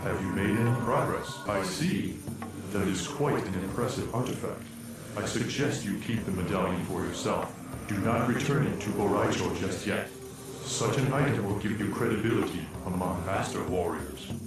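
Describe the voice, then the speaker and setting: Echoing distant male apparition, low and solemn, commanding mentor, formal diction, fantasy video game, loud music behind. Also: normal speed. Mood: measured, approving. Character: grave, commanding male spirit guide, a disembodied apparition.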